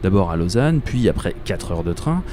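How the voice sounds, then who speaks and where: A man's voice: deep voice, sing-song, normal speed, background noise. A man playing a dreamy, obsessive commuter who narrates a radio fiction in a colloquial, wry, confiding way. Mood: wry, musing. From Paris, France. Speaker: narrator and protagonist. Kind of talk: first-person radio fiction monologue.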